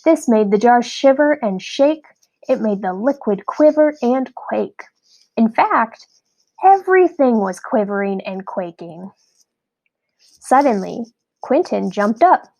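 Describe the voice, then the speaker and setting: A woman narrating a scripted children's story in an expressive, deliberate read-aloud style. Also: on the high side, gravelly, dull audio.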